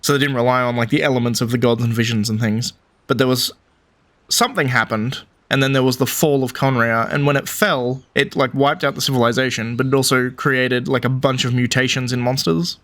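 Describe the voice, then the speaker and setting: A man's voice: medium pitch, fairly even intonation, fairly quick, a bit bright. A man giving casual let's play commentary as a game streamer, thinking aloud as he goes.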